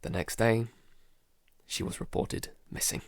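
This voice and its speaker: A man playing a frightened, traumatised survivor in a halting, dramatic audio-drama monologue. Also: no room sound, studio quality, close to an everyday voice. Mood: grim, haunted. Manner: halting, stammering, broken by sighs. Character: frightened, traumatised male survivor keeping a monster-apocalypse audio diary.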